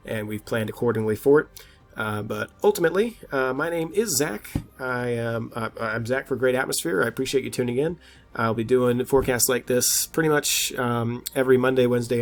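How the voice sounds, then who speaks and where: A man with a slightly congested, mid-low everyday voice talks through a weather forecast over background music. He hosts informally, thinking aloud and backing up to restart phrases as he goes. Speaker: weather forecaster and channel host.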